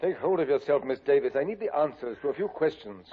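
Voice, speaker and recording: Guy playing a calm stern inspector, 50s radio crime show, dull sound. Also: on the high side, quick pace, a little hiss or hum, close to an everyday voice. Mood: firm. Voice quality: calm, firm, dry. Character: stern male Scotland Yard inspector. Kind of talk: 1950s radio drama. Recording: dull old 1950s radio recording.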